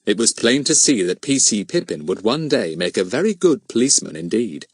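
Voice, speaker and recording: Animated male storybook narrator. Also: fairly low pitch, dry recording. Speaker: audiobook narrator. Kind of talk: scripted children's story narration.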